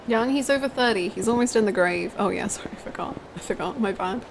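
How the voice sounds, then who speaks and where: A woman in her twenties chatting casually as she livestreams a video game, with an expressive, giggly, exclamatory way of talking. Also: medium pitch, fast, noisy background. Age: 20s. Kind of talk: casual livestream chat over gameplay, reading out comments. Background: video game music.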